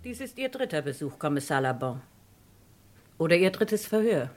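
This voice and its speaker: A woman's voice: low-pitched, very animated, moderate pace, music in the background. An actress playing a guarded, reluctant lady who defends her friend under questioning in a German radio crime drama, speaking formally and earnestly.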